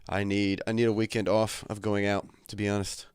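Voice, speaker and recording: A man's voice: fairly low pitch, completely flat delivery, brisk, smooth, no room sound, clean studio sound. A young man hosting a casual livestream from his bedroom, chatting in a laid-back, crude, joking way, slightly congested from a cold. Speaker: livestream host.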